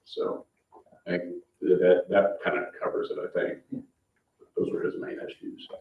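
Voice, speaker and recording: A man with a very low voice chairs a town board meeting in an informal way. He sounds distant from the microphone in an echoey room, and the sound is slightly muffled. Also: monotone, unhurried, a little hiss or hum. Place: indoor public meeting room.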